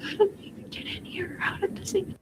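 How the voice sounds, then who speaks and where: A woman's voice: fast, close to an everyday voice. A woman acting a frightened, lost explorer in found-footage horror, nervously muttering and whispering to herself. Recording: noisy found-footage horror video sound.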